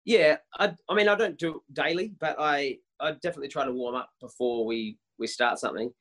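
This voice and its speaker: A man in his thirties, a worship leader, talks about his work in a casual interview. His voice sits on the higher side, and he speaks with eager energy, tripping over and restarting his sentences. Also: fairly quick, fairly bright tone. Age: 30s. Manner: enthusiastic, many restarts and repeated words.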